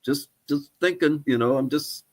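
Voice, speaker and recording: An older man answering interview questions as a historian, speaking in a halting, thoughtful, tentative way. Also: a little high. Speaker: archaeologist and historian guest. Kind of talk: interview answers about research.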